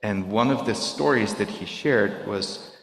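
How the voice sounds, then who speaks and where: A middle-aged man whose native language is Russian, speaking earnestly and haltingly to a congregation, searching for his words. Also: lowish voice, a bit bright, a little hiss or hum. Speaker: guest speaker at a service. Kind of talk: reflective talk to a congregation. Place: synagogue sanctuary. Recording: echoey hall, long reverb.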